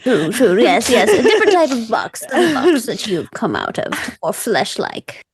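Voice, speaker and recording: A woman's voice: fairly high pitch, huge pitch swings, moderate pace, husky, full character voice. A woman playing a wry, worldly, evasive woman in a tabletop roleplay, musing in roundabout phrases. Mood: amused.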